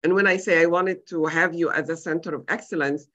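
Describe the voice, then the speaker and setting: A woman's voice: fairly low pitch, fairly even intonation, brisk, fairly bright tone. A middle-aged woman from Egypt speaking conversationally as a physician in an online panel discussion. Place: indoors on a video call. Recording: dull lo-fi video-call audio.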